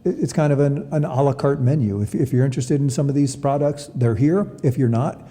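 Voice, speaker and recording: A man's voice: medium pitch, brisk, dark tone. A man giving conversational interview answers as an athletic director, often repeating words as he explains. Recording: long reverberant room sound.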